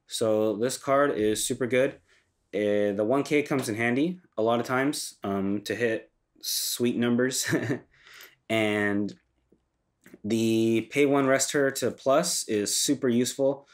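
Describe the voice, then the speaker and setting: A man's voice: mid-range voice, takes it slowly. Congested young man talking casually. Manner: loose and conversational, frequent ums and self-corrections. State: congested from a cold. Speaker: channel host reviewing a card game deck. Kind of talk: casual explanatory walkthrough.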